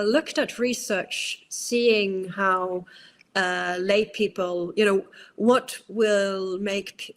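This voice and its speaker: A Norwegian woman speaking English with a British-tinged accent, a psychologist giving long, thoughtful interview answers with many fillers and restarts. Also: medium pitch. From Norway. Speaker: guest psychologist.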